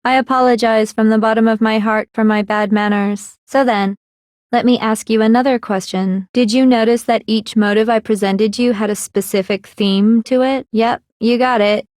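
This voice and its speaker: A woman's voice: on the high side, fairly even intonation, normal speed, a little mellow, no room sound, studio quality, lightly acted. A woman playing a mock-courteous teenage girl mastermind in an anime game, speaking formally, like a teacher quizzing a class. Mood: mock courtesy. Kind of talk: anime video game voice acting.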